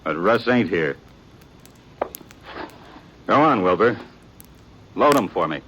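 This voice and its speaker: An actor playing a stubborn, hard-bitten gunfighter in a radio western, terse and folksy. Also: male voice, sits low, animated, slow, a bit bright, lo-fi sound, a little hiss or hum, full character voice. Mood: grim, resolute. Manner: terse, folksy rural speech. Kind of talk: 1950s radio western acting.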